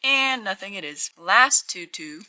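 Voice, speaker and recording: A woman with a croaky morning voice, casually talking to herself while unboxing at a tabletop and reading out numbers. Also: mid-range voice, expressive, bright, forceful tone, slight background noise. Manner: slow, musing, reads out weights. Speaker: channel host opening card packs. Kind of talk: casual hands-only unboxing commentary. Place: indoors at a tabletop.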